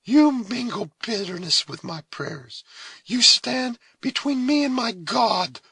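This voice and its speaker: A man's voice: animated, slow pace, gravelly, bright, forceful tone, breathy edge, dry recording, full character voice. A man voicing a proud, solemn young woman pleading in anguish in a read-aloud story. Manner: high, impassioned, pleading.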